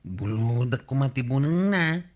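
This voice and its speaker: A man plays a cheeky village borrower in a comic Ilocano radio drama. He talks at a middling pitch, hemming his way through sheepish excuses and forgetful apologies. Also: lively intonation, unhurried, darkish tone, lightly acted. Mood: casual, excuse-making.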